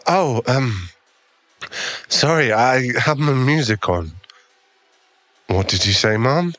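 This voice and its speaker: A man's voice: medium pitch, a bit bright, no echo, heavily acted. A man playing an awkward, kind young gym owner with a crush in an ASMR boyfriend roleplay, speaking in an earnest, hesitant, stammering way. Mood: flustered, embarrassed.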